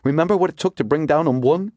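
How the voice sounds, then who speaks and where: A man's voice: fairly high pitch, quick pace, warm tone, no room sound, pitched above the performer's own voice, lightly acted. A man doing an urgent woman scientist. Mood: insistent. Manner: breathless, insistent.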